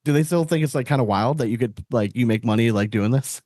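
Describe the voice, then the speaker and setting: A male podcast host chatting casually at a quick clip. His delivery is blunt and sweary, with a mid-range pitch and a close, dry sound. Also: a little mellow, no echo. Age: 30s or older. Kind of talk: casual chat.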